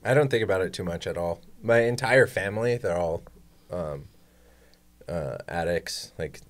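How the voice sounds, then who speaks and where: A young man with a very low voice, chatting loosely and rambling, with plenty of "like" fillers. He speaks into a studio boom mic in a small podcast room. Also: normal speed. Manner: relaxed, rambling, lots of "like". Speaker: podcast guest. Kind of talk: casual chat. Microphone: studio mic on boom arm, headphones.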